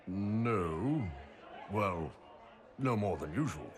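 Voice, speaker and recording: A deep, slow mercenary chief. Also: male voice, a crowd in the background. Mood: deadpan. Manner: deep, drawling, deadpan. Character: huge gruff male mercenary chief, laid-back.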